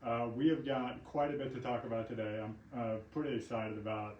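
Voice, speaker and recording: A man with an Oklahoma accent hosting a casual live local news chat, relaxed and chatty, often laughing. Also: mid-range voice, brisk, highs cut off. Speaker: local news show host. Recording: roomy sound, mic at a distance, faint background hum.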